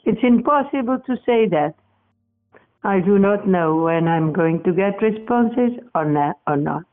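A speaker from Portugal, answering interview questions in a halting way, often restarting and correcting words. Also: mid-range voice, expressive. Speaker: experimenter in spirit communication. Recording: tinny phone-like audio, faint music.

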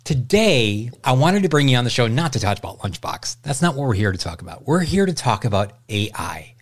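A man's voice: medium pitch, sing-song, a little fast. A man hosting a podcast, introducing his guest and asking questions in a friendly way. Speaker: podcast host.

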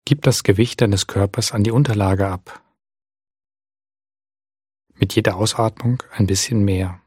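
A man guides a body scan meditation in a low, husky voice. He gives gentle, calm instructions and leaves long, unhurried pauses between them. The recording is dry and close, with no room sound. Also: no room sound.

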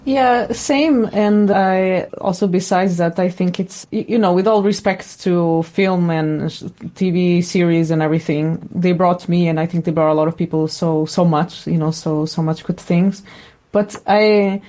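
Mellow, low-voiced woman, halting and thoughtful. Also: steady tone. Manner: halting, searching for words with repetitions. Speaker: sound designer being interviewed. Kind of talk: casual, thoughtful interview talk.

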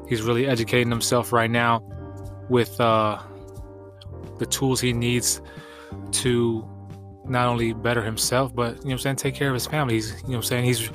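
Man, podcast host, slangy and informal, slightly stuffed-up nose, lively range not needed, faint music underneath. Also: mid-range voice. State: congested from allergies. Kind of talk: casual podcast talk.

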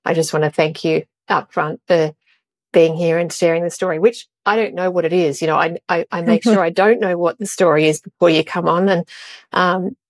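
A woman hosting an interview, welcoming her guest in a casual, halting way with repeated words. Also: lowish voice, steady tone, dry recording. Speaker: podcast host.

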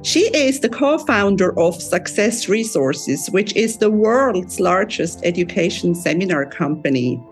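A woman hosting a podcast interview, effusive and quick to praise and react to her guest. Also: lowish voice, lively intonation, soft background music. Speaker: podcast host. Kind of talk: interview host. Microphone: laptop video call.

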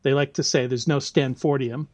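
A middle-aged American man, a biology lecturer, speaking as a podcast guest in a relaxed, explanatory way with frequent ums and some laughs. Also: medium pitch, normal speed, a little hiss or hum. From United States. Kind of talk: casual interview talk.

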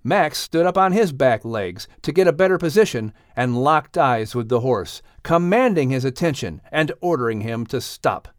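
A man reading a scripted audiobook story with a dramatic, expressive manner. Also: mid-range voice, moderate pace, few pauses, no echo, studio quality. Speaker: audiobook narrator.

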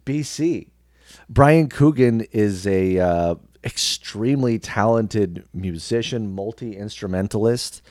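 A man's voice: fairly low pitch, slow pace, a little mellow, no echo, clean studio sound. A man chatting casually as an interview guest, often searching for his words and repeating them. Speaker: podcast guest, radio host.

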